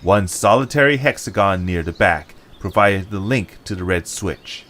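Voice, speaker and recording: A man's voice: deep voice, expressive, moderate pace, fairly bright tone. A man reading scripted story narration as the narrator.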